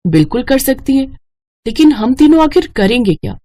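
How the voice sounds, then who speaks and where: A woman's voice: high voice, very animated, normal speed, mellow, pitched above the performer's own voice. A woman voicing an earnest, determined young village girl in a cartoon story.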